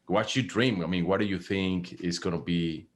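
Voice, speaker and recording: Middle-aged guy hosting a podcast, lowish voice, keeps repeating himself and restarting sentences. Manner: halting, repeats words, many restarts and laughs. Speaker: podcast host. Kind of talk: podcast interview.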